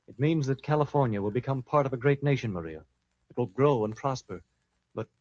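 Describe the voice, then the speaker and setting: A muffled vintage radio western recording carries a man playing an earnest rancher. He is proud and troubled, with a medium-pitched voice and a dramatic delivery that leans toward despair. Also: expressive, fairly quick, darkish tone, natural voice. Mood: grave, wistful. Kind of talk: old-time radio western acting.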